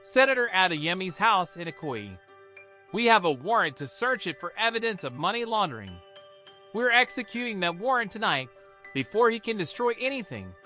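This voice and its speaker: A man's voice: sits high, big pitch swings, normal speed, sharp tone, faint music behind, pitched above the performer's own voice, full character voice. A man voicing a resolute senior policewoman in a dramatised story, with an authoritative, clipped manner. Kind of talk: dramatised folktale narration.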